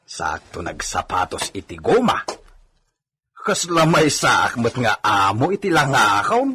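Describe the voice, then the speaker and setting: A man's voice: mid-range voice, big pitch swings, unhurried, noisy background. A man playing a cheeky, boastful village horseman with an Ilocano accent in a comedy radio drama, chuckling and talking to himself. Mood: pleased, musing.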